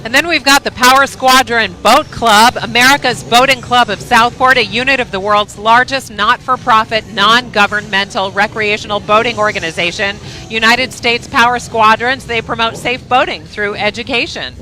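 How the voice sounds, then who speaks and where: A co-commentator at an outdoor parade, reading sponsor blurbs in a friendly, steady way. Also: medium pitch, normal speed, hardly any pauses, poor audio.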